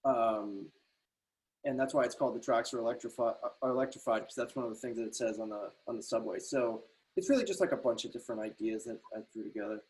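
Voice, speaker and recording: A man speaking as a playwright in casual, reflective discussion, circling his way haltingly through explanations on a video call. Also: medium pitch, darkish tone, poor audio. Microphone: computer video call.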